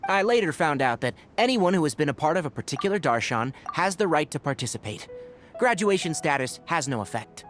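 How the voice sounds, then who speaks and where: A man voicing a sensible, dry-witted young forest ranger who explains things calmly. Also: fairly high pitch, brisk, soft background music. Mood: level. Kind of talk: video game voice acting.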